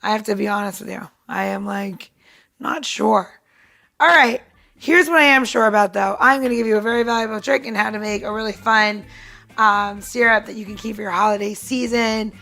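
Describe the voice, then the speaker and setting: A woman's voice: mid-range voice, a little fast, gravelly, bright, forceful tone. A woman hosting a podcast who chats bluntly and breezily, then walks listeners through a cocktail syrup recipe. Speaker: podcast host, cocktail presenter. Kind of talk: casual chat and recipe walkthrough.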